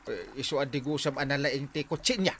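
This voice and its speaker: An actor with an Ilocano accent playing an easygoing village captain who laughs often in a radio drama. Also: male voice, mid-range voice, fairly quick, fairly bright tone, natural voice. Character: jovial middle-aged male village captain. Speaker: barangay captain.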